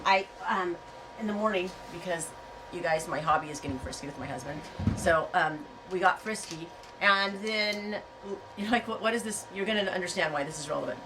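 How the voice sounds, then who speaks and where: A woman's voice: fairly low pitch, brisk, far from the mic, music in the background, low-quality recording. A woman on her farm vlog, chatting and rambling to her viewers with a stuffy head cold, laughing often. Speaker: yarn and fiber farm vlogger. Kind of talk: casual storytelling to viewers. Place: home on a farm.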